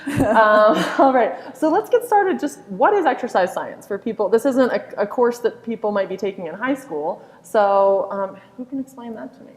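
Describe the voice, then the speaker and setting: A woman's voice: on the high side, animated, normal speed. Upbeat woman hosting a live panel. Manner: upbeat, encouraging. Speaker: host, marketing director. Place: campus hall. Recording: reverberant hall.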